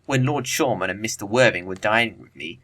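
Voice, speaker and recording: An actor playing a flippant, witty young upper-class English bachelor in an audio play, with arch, lofty, affected phrasing. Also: male voice, fairly low pitch, fairly quick, a bit bright. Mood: breezy, self-satisfied. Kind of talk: audio play acting of Victorian comedy of manners.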